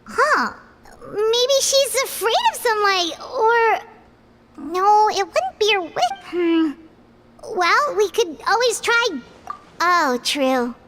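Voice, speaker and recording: A woman voicing a curious, expressive young girl in a fantasy video game. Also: very high-pitched, slow, slight background noise, heavily acted. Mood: pondering.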